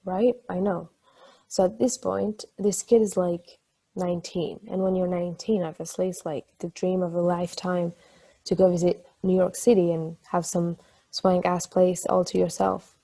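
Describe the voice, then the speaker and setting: Woman acting a husky, mellow, playful wife. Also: lowish voice, moderate pace, rough recording. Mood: chatty storytelling. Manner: casual, swearing, animated storytelling. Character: playful married woman, former athlete, eager chatty storyteller. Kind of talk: table read of a stage play, natural conversational acting.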